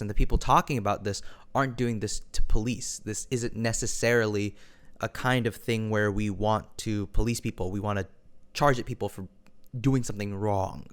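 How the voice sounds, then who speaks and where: Smooth-voiced man giving careful opinions. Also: lowish voice, fairly bright tone. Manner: measured, hedging with frequent personal qualifiers. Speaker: online video commentator. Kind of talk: opinion monologue.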